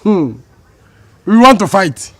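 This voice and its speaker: A man's voice: sits high, big pitch swings, takes it slowly, a bit bright, full character voice. An actor with a Nigerian accent playing a proud, menacing man in a land dispute, blustering and throwing challenging questions in film drama dialogue. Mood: angry, challenging.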